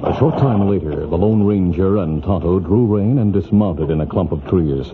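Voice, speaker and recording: A man as the formal, dramatic narrator of a 1940s radio western adventure, telling it with crisp urgency. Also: sits low, normal speed, heavy background noise. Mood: suspenseful. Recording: muffled old radio recording with music.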